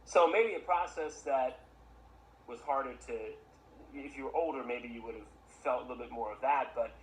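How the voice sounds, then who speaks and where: A man's voice: mid-range voice, moderate pace. A man hosting a podcast and interviewing a former student, encouraging him and asking long, winding questions. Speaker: podcast host, former teacher of the guest. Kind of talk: casual interview. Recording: echoey room with faint background noise.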